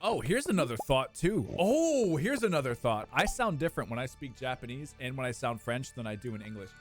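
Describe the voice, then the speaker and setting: Animated young guy streaming, lively pitch. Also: fairly quick, music in the background. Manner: animated, swings into high laughing squeaks. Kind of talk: casual live-stream chat with viewers.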